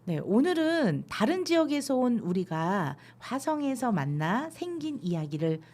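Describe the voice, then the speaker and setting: A woman's voice: on the high side, big pitch swings, measured pace. A woman from Gangwon Province with a Gangwon accent, co-hosting a community podcast, politely leading the casual chat. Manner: polite, gently leading the talk. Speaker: podcast co-host.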